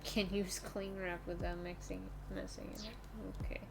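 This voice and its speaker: A woman's voice: medium pitch, steady tone, normal speed, creaky, background noise. A woman streaming a video game, chatting casually and reading game text aloud. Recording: game music behind the voice. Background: game music.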